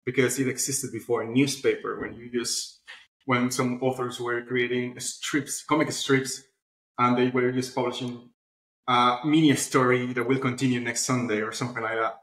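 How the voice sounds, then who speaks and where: A man's voice: mid-range voice, flat delivery, moderate pace, fairly bright tone. Echoey male interview guest. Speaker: interview guest, publishing platform founder. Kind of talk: conversational interview answers. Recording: echoey room sound.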